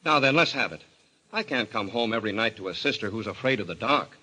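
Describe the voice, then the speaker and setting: Practical brother, brisk, matter-of-fact, sharp tone, lively swinging pitch, vintage radio drama. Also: male voice, slightly rough, full character voice. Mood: brisk, curious. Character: brisk, practical brother coaxing his frightened sister. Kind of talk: old-time radio mystery acting.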